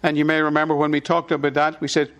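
A middle-aged Irish pastor delivering a sermon, his voice sitting a little high for a man. He leads his congregation in call-and-response, repeating short lines with emphasis so they can echo them back. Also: monotone, fast talker, a bit bright.

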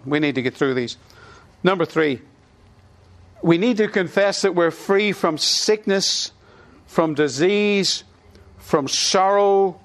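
A middle-aged man with an Irish accent, preaching a sermon and leading the congregation in call-and-response. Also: high-pitched. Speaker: pastor.

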